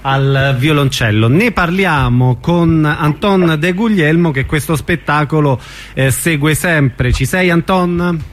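A man's voice: a little high, takes it slowly. A man hosting a conversational radio interview.

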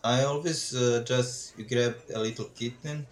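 A man hosts a relaxed podcast chat, mixing questions with dry, deadpan jokes. His voice sits at a middle pitch. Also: normal speed. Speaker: podcast host.

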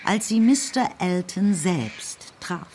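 Low-voiced woman narrating a radio play. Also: a little hiss or hum. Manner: measured, even storytelling.

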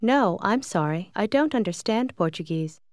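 A woman giving model phrases in a language course, clear and careful. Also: mid-range voice, a little slow, dry recording, studio quality. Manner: clear, even, careful delivery. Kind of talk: model pronunciation.

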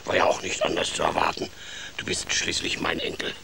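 A man's voice: low voice, completely flat delivery, a little fast, gravelly, noisy background. A man playing a proud, pompous old grandfather rat who leads the clan in a children's radio play. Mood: solemn, pompous. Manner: pompous, theatrical, indignant.

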